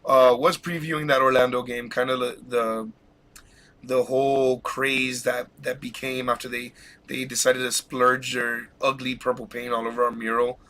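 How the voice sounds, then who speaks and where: An excited man hosts a casual live podcast, voice bright and forceful. He rambles, restarts and laughs often, sounding like he's pushing through a cold. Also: mid-range voice, moderate pace. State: has a cold. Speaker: podcast host.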